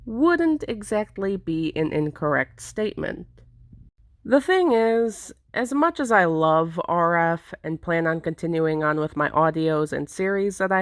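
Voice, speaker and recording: A woman's voice: lowish voice, no echo, studio quality. A woman video creator giving a semi-scripted, explanatory vlog narration.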